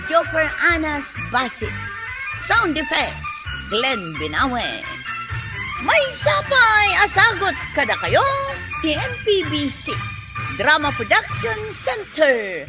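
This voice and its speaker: A woman announcer with an Ilocano accent reads radio drama credits. Her voice is fairly high and very animated, with clear music behind it. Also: takes it slowly, low-quality recording. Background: loud music.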